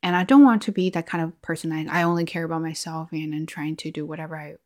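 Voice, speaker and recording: A young woman with as her chats in English on her own podcast. Her voice sits a little low, and she talks thoughtfully, often backing up to restart a phrase or correct herself. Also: fairly even intonation, fast, a little mellow. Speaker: podcast host. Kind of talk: casual solo chat to listeners.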